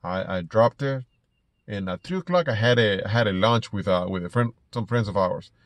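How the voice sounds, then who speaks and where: A middle-aged man from Ecuador with an Ecuadorian Spanish accent, telling stories casually to camera in a halting way, repeating words as he goes. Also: lowish voice, animated, no room sound. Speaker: small business owner vlogger. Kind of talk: casual personal storytelling to camera. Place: inside a parked car.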